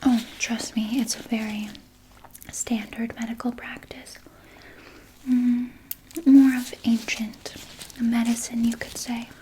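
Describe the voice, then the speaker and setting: Woman as a whispering, slow vampire nurse. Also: on the high side, level tone, mellow, a little hiss or hum, low-quality recording. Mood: gentle, matter-of-fact. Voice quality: breathy whisper. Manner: slow, soothing, coddling with veiled threat. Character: vampire nurse, sweetly doting yet quietly menacing. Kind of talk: ASMR roleplay, personal attention.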